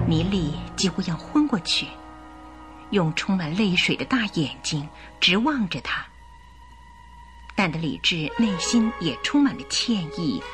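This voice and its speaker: Woman, radio drama narrator, lowish voice, lively intonation, expressive storytelling.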